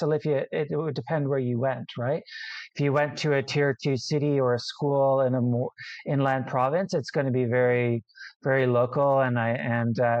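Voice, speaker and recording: A man, an international student, answering interview questions casually, often restarting sentences and filling pauses. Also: mid-range voice, darkish tone, no room sound, lo-fi sound. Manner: hesitant, many restarts and fillers.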